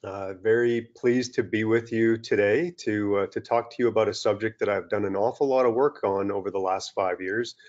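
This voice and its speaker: A middle-aged man from Western Canada giving a relaxed webinar lecture over slides from a small room, with many fillers and restarts. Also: on the low side, moderate pace, hardly any pauses. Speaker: turfgrass scientist presenting a webinar. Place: small indoor room, video call. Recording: dull lo-fi video-call audio.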